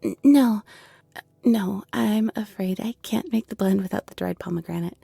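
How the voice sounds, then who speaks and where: A woman's voice: medium pitch, normal speed, gravelly, mellow, slightly rough, dry recording. A woman in her twenties playing a shy, flustered half-fairy tea shop keeper in an audio roleplay, with a nervous, stammering, giggly and apologetic way of talking. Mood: hesitant, hopeful. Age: 20s. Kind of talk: scripted audio roleplay, intimate and conversational.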